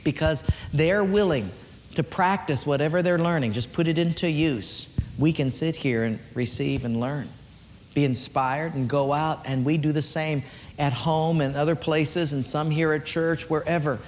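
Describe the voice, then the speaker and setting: A man preaching an earnest sermon as pastor in a church, swinging between lifted emphatic lines and hushed ones, then leading a prayer. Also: fairly high pitch, normal speed, big-room sound, slight background noise.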